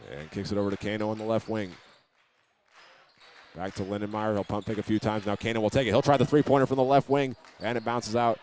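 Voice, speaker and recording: A man with a lowish voice does live basketball play-by-play in short, clipped phrases. His pitch stays on the flat side as he describes the action plainly. Light gym noise and the game sit behind him. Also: fast. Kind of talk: live radio play-by-play sports commentary. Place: indoor high school basketball game.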